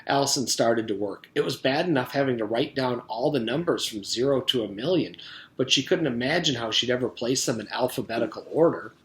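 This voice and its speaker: A man reads a children's story aloud in a mid-pitched voice. He narrates as a teacher would for pupils. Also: a little fast, rough recording.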